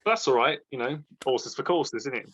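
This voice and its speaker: A man's voice: mid-range voice, moderate pace, a bit bright, husky, dull audio. A man chatting casually, dry and teasing, often giggling. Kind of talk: casual chat.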